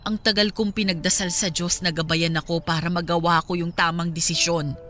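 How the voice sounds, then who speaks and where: A woman's voice: lowish voice, level tone, fairly quick, fairly bright tone, soft background music, lightly acted. A woman with a Filipino accent playing a hurt, resolute young mother in a radio drama, firm and reproachful.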